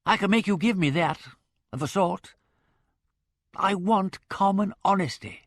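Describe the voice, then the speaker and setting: A man voicing a witty gentleman in love, speaking in emphatic, impassioned outbursts. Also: high voice, measured pace, dry recording, clean studio sound, voice pushed up, exaggerated voice.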